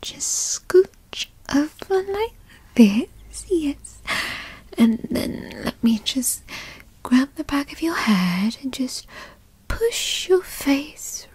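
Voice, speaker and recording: A woman playing a possessive, doting, teasing girlfriend in a whispered ASMR roleplay, coaxing and cooing in a breathy voice. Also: high-pitched, big pitch swings, darkish tone, dry recording, full character voice. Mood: cajoling, possessive. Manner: coaxing, cooing, slow and indulgent. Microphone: close studio mic.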